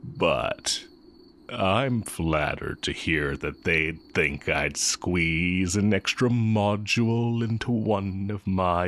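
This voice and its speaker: Very deep, creaky, smug evil magic teacher played by a man. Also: a bit bright, exaggerated voice. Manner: sardonic, drawling, teasing. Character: evil male magic teacher, heretic, smug, amused, fond of former student. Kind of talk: romantic audio roleplay acting.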